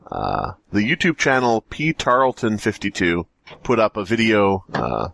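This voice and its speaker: A man's voice: fairly low pitch, fairly bright tone, no echo. A man hosting a comedy podcast, chatting casually in a rambling, joking way. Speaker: podcast host.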